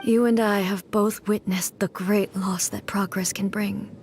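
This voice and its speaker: A woman playing a solemn, regal shogun deity in a video game, speaking in a grave, measured, formal way. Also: mid-range voice, steady tone, noisy background, natural voice. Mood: sorrowful, solemn. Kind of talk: dramatic video game acting. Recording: studio voice over background music.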